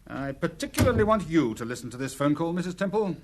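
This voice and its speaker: An actor playing a confident, businesslike British police inspector in a radio drama. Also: male voice, fairly high pitch, sing-song, noisy background. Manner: businesslike, measured wording, assured.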